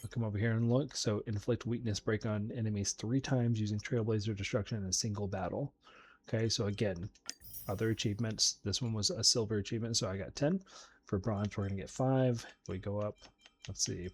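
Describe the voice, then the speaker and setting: A man's voice: lowish voice, steady tone, fairly quick, a little hiss or hum. A man giving casual, explanatory commentary as he hosts a video game playthrough. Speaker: gaming video host.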